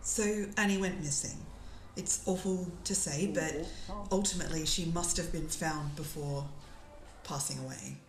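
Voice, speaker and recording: Female interviewer, acted, deep-pitched and consoling, recorded in an echoey room with faint noise. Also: measured pace. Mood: sympathetic. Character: sympathetic female podcast interviewer.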